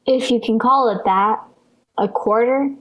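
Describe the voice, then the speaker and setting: High-pitched, melodramatic little radio girl, acted by a female. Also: unhurried, natural voice, poor audio. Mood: desperate pleading. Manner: melodramatic, insistent, plaintive. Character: dramatic, pleading little girl, bratty younger sister. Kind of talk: 1940s radio sitcom acting. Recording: vintage 1940s radio broadcast.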